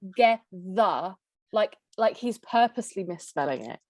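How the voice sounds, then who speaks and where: A woman co-host with Greek as her first language, from a half Greek and half English background, talks bluntly and laughs often. Her voice sits at an ordinary pitch and sounds close and dry, without any room around it. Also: expressive, moderate pace, a little mellow, no echo. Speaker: podcast co-host. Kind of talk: casual chat reading out messages.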